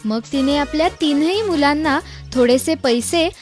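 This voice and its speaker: Woman narrator, high pitch, scripted children's storytelling, faint music underneath. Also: normal speed. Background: background music.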